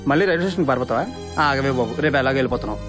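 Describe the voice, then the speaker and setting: A man's voice: medium pitch, lively intonation, very fast, close to an everyday voice. An actor playing a playful young bachelor in a film romantic comedy, flippant and teasing, slipping English phrases into his talk. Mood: joking, teasing. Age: young adult. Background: loud background music.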